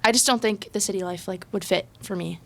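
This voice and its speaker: A woman's voice: mid-range voice, quick pace, gravelly, slight background noise. A young woman from Ontario, chatting casually as a student guest in a bubbly way with lots of "like.". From Ontario, Canada. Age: young adult. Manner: chatty, bubbly, heavy use of "like". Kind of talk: casual chat.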